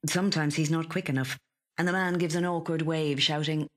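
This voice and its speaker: An actor with a slightly husky, breathy voice reading a literary short story in a measured, hushed way. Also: medium pitch, fairly even intonation. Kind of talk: scripted literary narration.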